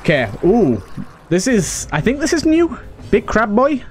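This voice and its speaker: Higher-pitched man doing game commentary. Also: huge pitch swings, a little slow, a little hiss or hum. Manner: mutters to himself while thinking through moves. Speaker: gaming video host. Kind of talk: casual let's play commentary. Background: game music.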